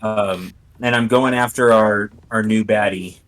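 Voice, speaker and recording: A man's voice: on the low side, steady tone, unhurried, crowd noise. A man casually thinking aloud while playing a tabletop roleplaying game.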